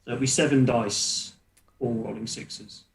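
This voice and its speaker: A man's voice: medium pitch, fairly even intonation, measured pace, vocal fry. A man running a tabletop roleplaying game as game master, narrating hesitantly and repeating words as he improvises. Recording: echoey room.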